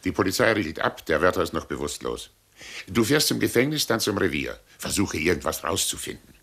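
Gravelly, brusque newspaper boss. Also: male voice, low-pitched, sing-song, fairly bright tone, exaggerated voice. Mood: urgent, commanding. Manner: brisk, commanding. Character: brusque newspaper boss ordering a reporter around. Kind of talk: 1960s German radio play acting.

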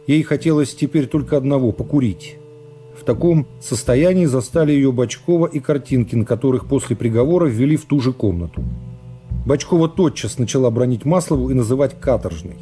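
Male radio narrator for a literary serial, medium-pitched and relaxed in tone, dramatic delivery, music bed. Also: a little fast. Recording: music behind the voice.

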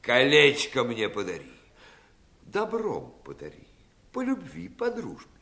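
An actor playing a menacing, mocking pirate lord in a theatrical fairy-tale radio play, wheedling and then threatening. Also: male voice, mid-range voice, huge pitch swings, unhurried, vocal fry, sharp tone, heavily acted. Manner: wheedling turning to threats, swooping intonation.

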